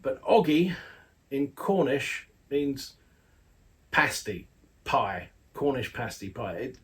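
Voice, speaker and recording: A man's voice: medium pitch, very animated, takes it slowly. Chatty man reviewing hi-fi gear. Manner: chatty, rambling, with playful asides. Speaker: audio magazine editor reviewing a loudspeaker. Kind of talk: casual spoken product review.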